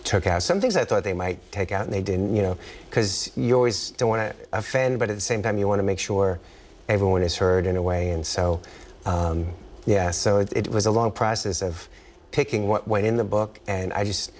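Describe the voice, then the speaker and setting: Male author guest, American, very low voice, rambling casual delivery, noticeable background noise. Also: steady tone, moderate pace, darkish tone. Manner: rambling, trails off mid-thought. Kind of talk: casual interview chat.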